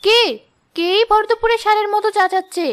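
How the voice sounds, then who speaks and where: A woman playing a hot-headed young village wife and mother in a cartoon drama, sharp and accusing, firing off rhetorical questions. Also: very high voice, steady tone, a little fast, faint music behind. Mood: curt, rude. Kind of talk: Bengali cartoon drama acting.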